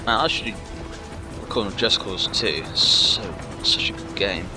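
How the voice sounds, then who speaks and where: Slow, casual male gameplay commentator. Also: on the low side. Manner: short offhand interjections. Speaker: let's play host. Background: game music.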